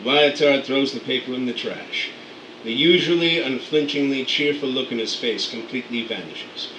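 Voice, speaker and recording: A man's voice: medium pitch, moderate pace. Man reading scene directions in a roomy room. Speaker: narrator reading stage directions. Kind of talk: radio play scene directions. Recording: roomy, distant mic, air conditioning hum.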